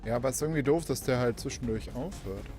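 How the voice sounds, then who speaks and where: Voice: mid-range voice, quick pace, warm tone, soft background music. A video game streamer giving casual, conversational commentary while playing.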